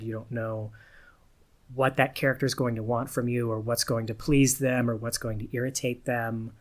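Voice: medium pitch, a bit bright. A podcast host introducing a guest with playful greetings and chatting about games. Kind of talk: podcast hosting.

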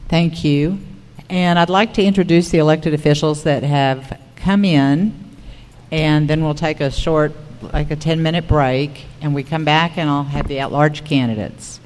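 A woman's voice: low-pitched, steady tone, unhurried, a little mellow. A woman moderating a public candidate forum. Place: public forum room at a school. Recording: reverberant hall, noticeable background noise.

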